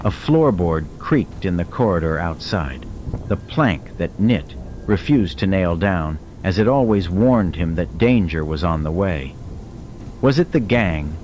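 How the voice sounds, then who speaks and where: A man's voice: sits low, expressive, measured pace, a little mellow, lo-fi sound, a little hiss or hum. A man reading scripted audiobook fiction as a dramatic narrator.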